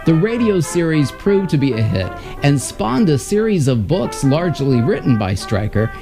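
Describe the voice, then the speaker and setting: A man's voice: a little high, big pitch swings, normal speed. An American man in his fifties hosting with a scripted, emphatic announcer delivery at a broadcast microphone. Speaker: host. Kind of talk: scripted presentation. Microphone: large broadcast microphone on stand, close. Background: music.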